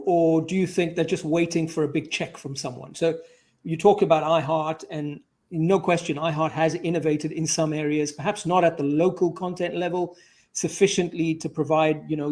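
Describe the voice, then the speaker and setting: A man's voice: fairly high pitch, darkish tone. A man interviewing a guest in a conversational way, putting analytical questions.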